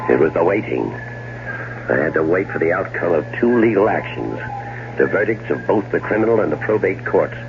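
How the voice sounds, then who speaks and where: An actor playing an outwardly grieving, evasive widower, politely halting and measured, in a 1970s radio drama. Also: male voice, low-pitched, big pitch swings, gravelly, full character voice. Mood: confiding. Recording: muffled old radio broadcast with hum.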